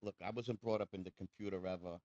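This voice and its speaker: A man's voice: sits low, fairly even intonation, fast, warm tone, no echo, muffled recording. A man in his sixties, a custom chopper builder, chatting bluntly in an interview and swearing freely. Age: 60s. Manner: defiant, preachy toward critics. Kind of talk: casual interview chat.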